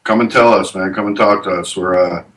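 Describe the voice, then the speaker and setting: A man's voice: deep voice, fairly even intonation, brisk, a bit bright. A man in his forties, a band guest in a casual interview, earnest and rambling with fillers. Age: 40s. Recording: echoey room, distant from the mic.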